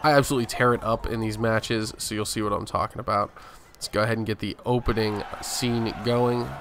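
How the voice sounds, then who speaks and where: A man's voice: lowish voice, steady tone, brisk, noisy background. A man giving casual, boastful gameplay commentary, narrating each move as a gaming video host.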